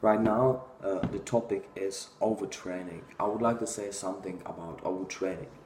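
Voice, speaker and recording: Czech guy in his 30s, real low voice, blunt gym talk, lots of uhs, echoey little room. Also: fairly even intonation, moderate pace, darkish tone, a little hiss or hum. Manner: blunt and opinionated, many fillers, gestures while talking. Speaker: fitness vlogger. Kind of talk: casual talking-head monologue. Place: small room indoors.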